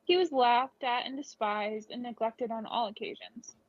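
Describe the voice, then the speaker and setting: A woman's voice: a little high, normal speed, dry recording. A woman reading a scripted children's story aloud. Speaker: storybook reader. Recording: dull lo-fi recording.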